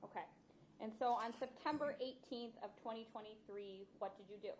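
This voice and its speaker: A woman's voice: fairly high pitch, fairly quick, a little hiss or hum, rough recording. An American woman speaking as a prosecutor, questioning a witness in formal direct examination in a courtroom.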